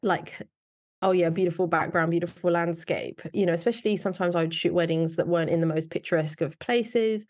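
A woman's voice: fairly low pitch, steady tone, a little fast, a little mellow. A British woman talking casually and chattily as a guest in an interview, in an informal conversational way. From United Kingdom. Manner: chatty, informal, frequent "like" and "you know". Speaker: wedding photographer, interview guest.

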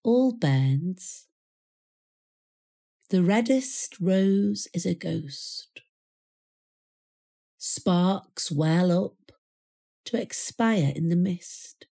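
A woman reciting a poem in a measured, solemn manner with deliberate pauses. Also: lowish voice, lively intonation, vocal fry, warm tone. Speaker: poetry reader. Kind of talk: poetry recital.

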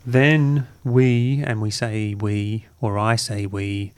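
A man hosting a casual podcast, croaky from a recent cold, with a dry, self-mocking humour. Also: fairly low pitch, flat delivery, slow pace. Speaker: podcast host.

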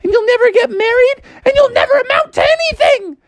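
Lady doing a super high squeaky frog mom, pep talk energy, sing-song n pushy, very animated. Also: fairly quick, no room sound. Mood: nagging. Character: peppy mother frog, overbearing, encouraging motivational parent.

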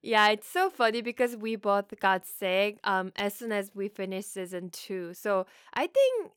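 A woman's voice: medium pitch, sing-song, measured pace, fairly bright tone, smooth, no echo, clean studio sound. A young adult woman from Korea with a Korean accent, co-hosting a podcast in casual chat, laughing often and hesitating with fillers. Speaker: podcast co-host.

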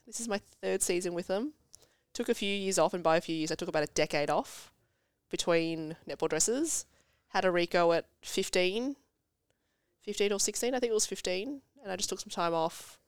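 A young woman with a congested cold, chatting as a podcast guest, self-deprecating and quick to tell stories. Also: medium pitch, clean tone, no room sound, studio quality. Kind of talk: casual chat. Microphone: studio podcast mic.

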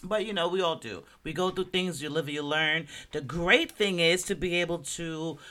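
A man's voice: fairly high pitch, normal speed, pushed and bright. A man hosting a solo podcast, talking in a loose, conversational way and often checking in with his listeners. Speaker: podcast host. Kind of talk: casual solo commentary.

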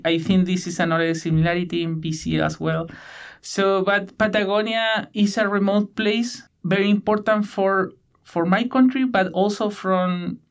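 A man in his thirties from Chile with a Chilean Spanish accent, answering interview questions in halting English with many fillers and restarts. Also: sits high, smooth. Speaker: guest, PhD student.

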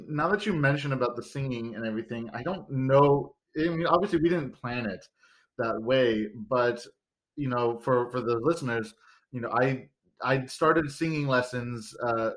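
A man in his thirties with a fairly loud voice, chatting casually and reflecting back on his own experiences. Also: medium pitch. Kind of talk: casual chat.

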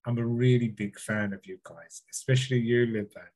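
A man's voice: mid-range voice, steady tone, fairly quick, darkish tone. A man co-hosting a podcast, reading out a listener email and then rambling, hedging and swearing freely in casual chat. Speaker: podcast co-host.

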